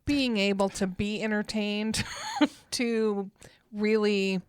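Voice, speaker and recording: A woman co-hosting a podcast in casual chat, talkative and quick to laugh. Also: mid-range voice, fairly even intonation, clean tone, no echo. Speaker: podcast co-host. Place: small podcast studio.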